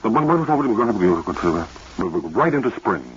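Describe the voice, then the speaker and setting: Muddled, rapid-fire folk weather forecaster. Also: male voice, on the low side, sing-song, slightly rough, full character voice. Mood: muddled earnest. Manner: halting, muddled, repetitive. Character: rambling, hesitant male folk weather forecaster. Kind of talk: deadpan comedy radio interview sketch. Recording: hissy dull vintage radio recording.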